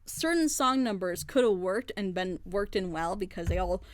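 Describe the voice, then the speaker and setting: A woman's voice: medium pitch, animated, normal speed, no room sound. A woman hosting a group reaction video, critiquing a story in a passionate, rambling way. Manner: frustrated, rambling, emphatic. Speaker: reaction channel host.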